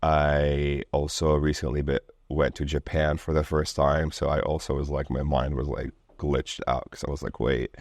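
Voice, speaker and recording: A man's voice: a bass voice, flat delivery, normal speed, hardly any pauses, no room sound, clean studio sound. A man from Lebanon speaking as an interview guest in a casual, rambling way, full of filler words like "like" and "you know".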